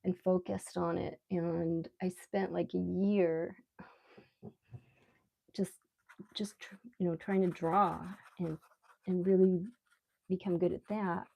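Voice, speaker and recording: A woman with a mellow, gravelly fry in her relaxed voice. She hosts a casual art live stream, thinking aloud in an unhurried, rambling way as she draws. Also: on the low side. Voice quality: relaxed, soft-edged voice. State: relaxed, mildly sedated after anesthetic. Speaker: live stream artist host. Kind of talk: casual live stream chat while drawing.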